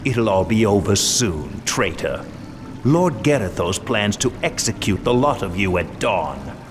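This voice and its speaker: An actor playing a gruff, scornful human jailer in a fantasy game, gloating in a matter-of-fact way. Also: male voice, on the low side, very animated, unhurried, noisy background. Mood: gloating, smug. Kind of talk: dramatic fantasy game voice acting.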